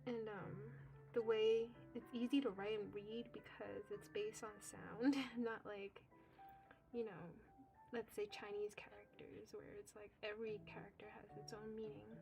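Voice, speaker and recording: A woman's voice: medium pitch, little pitch movement, normal speed, creaky, over music, low-quality recording. A young woman whose first language is Cantonese, chatting casually and giggling as she answers viewer comments on a live coloring stream. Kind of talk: casual chat with live chat readers.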